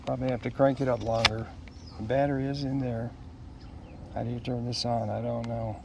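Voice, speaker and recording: Guy doing a casual unboxing, kinda halting and mumbling thoughts to himself, normal pitch, noticeable background noise. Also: level tone, vocal fry, warm tone. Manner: halting, musing aloud to himself. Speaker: product unboxer. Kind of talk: casual unscripted unboxing narration.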